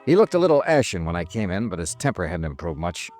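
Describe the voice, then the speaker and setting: An actor playing a cool, probing insurance investigator who narrates in a clipped, dry way in 1950s radio detective style. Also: male voice, sits low, very animated, quick pace, over music. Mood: dry, matter-of-fact. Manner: clipped, dry, matter-of-fact. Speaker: narrator and lead character.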